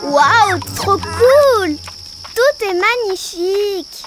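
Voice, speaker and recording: A tiny, excitable baby-doll girl. She speaks slowly and emphatically, dramatic and stubborn like a small child in a kids' cartoon. Music plays behind her. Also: very high-pitched, full character voice. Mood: excited, emphatic.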